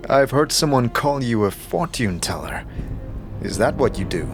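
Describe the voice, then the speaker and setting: Man acting a scarred nameless wanderer, mid pitch, polite, tentative, questioning, fantasy audio drama, music behind. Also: expressive, normal speed, close to an everyday voice. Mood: curious.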